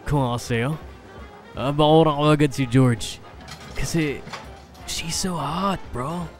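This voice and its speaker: A man's voice: a little high, slow, faint music behind. A man playing a cocky, swaggering rich young man in a radio serial, with a casual drawl. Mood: teasing. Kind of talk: radio serial drama acting.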